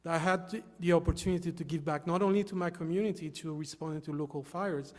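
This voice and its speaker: A man from Haiti, giving an earnest, halting stage talk with many fillers. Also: on the high side, steady tone, darkish tone. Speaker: volunteer speaker. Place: hall with an audience. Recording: long reverb of a large hall.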